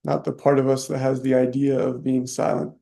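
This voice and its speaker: A man leading a guided meditation, soft-spoken and unhurried, leaving long pauses between short phrases. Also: mid-range voice, little pitch movement, vocal fry. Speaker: meditation teacher.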